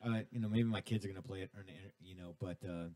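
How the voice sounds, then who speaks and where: A man's voice: low-pitched, fairly even intonation, quick pace, mellow, no echo. Man, middle-aged, radio host, rambling casual chat, lots of restarts, dry close studio sound. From Southern California.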